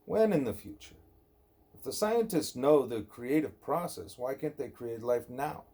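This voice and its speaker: A man reading a scripted spiritual dialogue aloud as an audiobook narrator, deliberate and emphatic on rhetorical questions. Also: medium pitch, very animated, warm tone. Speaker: audiobook reader.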